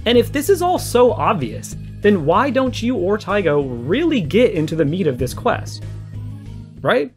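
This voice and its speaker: Higher-voiced young man arguing over guitar music. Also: very animated, unhurried. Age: young adult. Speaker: video essayist responding to a streamer. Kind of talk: conversational argumentative video commentary.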